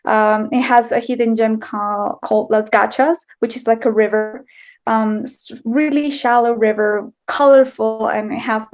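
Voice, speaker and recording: A woman's voice: on the high side, steady tone, measured pace, soft background music. A young woman from Colombia with a Colombian accent, answering interview questions in a casual, chatty way full of fillers and restarts. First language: Spanish. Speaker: guest, writer and filmmaker.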